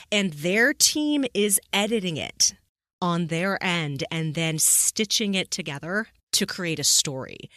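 A woman's voice: mid-range voice, bright, forceful tone, dry recording, clean studio sound. A woman hosting a podcast in a conversational, emphatic way, often checking in with rhetorical questions. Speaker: podcast host.

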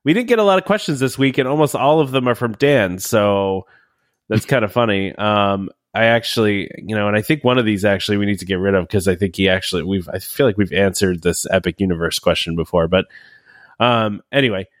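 A man's voice: on the low side, brisk, no room sound, clean studio sound. A man in his thirties with a nasal voice and a cold, hosting a casual podcast chat and rambling with lots of fillers and restarts. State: has a cold. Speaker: podcast host.

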